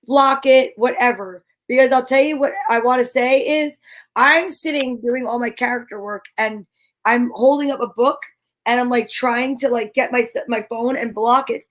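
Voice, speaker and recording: A woman's voice: fairly high pitch, moderate pace. A woman co-hosting a casual comedy chat show, talking in a playful, rambling way. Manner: playful, rambling, breaks into song. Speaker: co-host.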